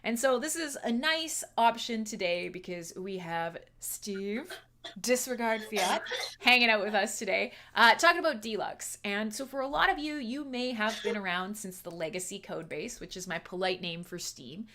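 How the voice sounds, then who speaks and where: A woman's voice: mid-range voice, normal speed, sharp tone, slight background noise. A Canadian woman hosting a casual livestream chat, playful and chatty, laughing often as she talks. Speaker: livestream host.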